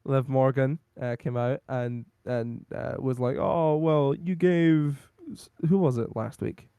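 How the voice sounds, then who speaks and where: A man with a worn, hoarse voice talks casually through a podcast recap, wandering from point to point with plenty of ums. His voice sits mid-range and the sound is close and dry, with no room around it. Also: medium pitch, steady tone, slow pace, warm tone, no echo, highs cut off. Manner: rambling, lots of ums and laughs. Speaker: podcast co-host. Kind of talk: casual wrestling recap chat.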